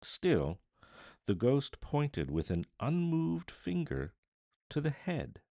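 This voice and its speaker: A man narrating literature as an audiobook reader, theatrical, deliberate and solemn. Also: medium pitch, huge pitch swings, warm tone, no echo, clean studio sound.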